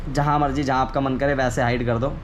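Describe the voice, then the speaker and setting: Casting: male how-to vlogger, average pitch, informal and explanatory, with some background noise. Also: little pitch movement, quick pace. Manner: informal, explanatory, repeats himself. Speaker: video creator giving a how-to. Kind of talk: casual hands-on tutorial chat. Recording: clearly noisy ambient background.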